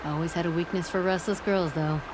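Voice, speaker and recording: An actress playing a brash, flirtatious, worldly weapons smith in a video game, with a folksy, confident manner. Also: female voice, fairly low pitch, fairly even intonation, brisk, close to an everyday voice. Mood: teasing, confident. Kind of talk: video game cinematic dialogue. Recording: clear ambient noise behind the voice.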